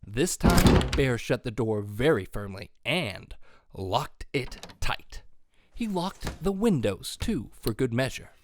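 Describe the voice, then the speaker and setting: A man reading a children's storybook aloud with expressive, animated narration. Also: mid-range voice, takes it slowly, dry recording.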